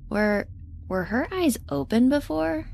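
A woman playing an earnest, excitable young intern who talks casually and emphatically in an audio drama. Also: a little high, takes it slowly, gravelly, slight background noise, heavily acted. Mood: tentative. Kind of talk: naturalistic audio drama acting.

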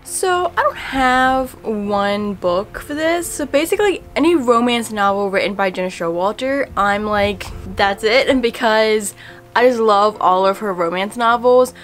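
A woman's voice: on the high side, a little slow, soft background music. Chatty young woman vlogging about books. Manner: chatty and relaxed, many fillers. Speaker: book vlogger. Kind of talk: casual book tag vlog. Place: home room in front of bookshelves.

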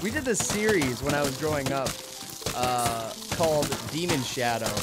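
A young man giving goofy, casual gameplay commentary, slightly hoarse from losing his voice, with sudden loud yelled outbursts. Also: medium pitch, lively intonation, moderate pace. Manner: goofy, jokey, bursts into loud yelled shouts. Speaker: gaming commentator. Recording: loud game music behind the voice. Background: video game music.

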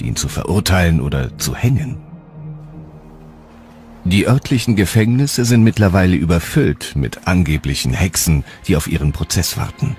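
A male narrator with a low, deep voice reads a historical documentary script. Music plays clearly behind him. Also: darkish tone.

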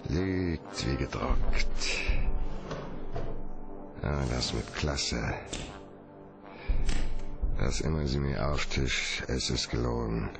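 An actor playing a hard-boiled, wry private detective in a noir radio drama, with a gravelly, laconic delivery. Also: male voice, a bass voice, loud music behind the voice, exaggerated voice. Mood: brooding, terse. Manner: laconic, dry, slow.

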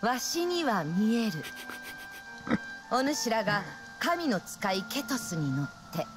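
Slow, stately mystic prophetess. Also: female voice, a little high, very animated, over music. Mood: solemn, oracular. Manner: slow, stately archaic diction. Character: mysterious prophet in a woman's form, speaking like an elder. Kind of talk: dramatic game cutscene acting.

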